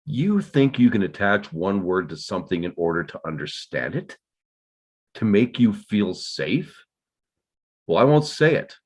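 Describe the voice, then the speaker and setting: A man's voice: on the low side, very animated, measured pace, slightly rough, exaggerated voice. A man playing a defensive, guilt-ridden father in a dramatic script read, terse and insistent. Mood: indignant, insistent.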